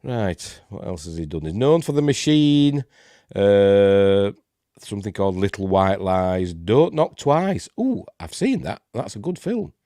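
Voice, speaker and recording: A man's voice: on the low side, expressive, unhurried, dark tone, dry recording, clean studio sound. A man with a Yorkshire accent hosting a rambling live-stream film review, congested and snuffly from a cold. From Yorkshire, England. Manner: rambling, hesitant, many fillers. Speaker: host.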